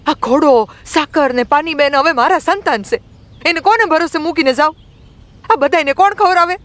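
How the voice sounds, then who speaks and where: A woman voicing a fierce, tearful thirteen-year-old village girl in an audiobook drama, with a rural Gujarati accent and loud, indignant questioning. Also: high voice, no room sound. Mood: angry, hurt. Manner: loud, indignant, rapid-fire rhetorical questions.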